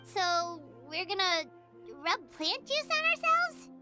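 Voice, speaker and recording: A woman voicing a tiny, chirpy fairy companion who talks about herself in the third person, with a squeaky, childlike voice. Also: big pitch swings, takes it slowly, heavily acted. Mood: squeamish. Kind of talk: game voice acting. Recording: game audio with music under it.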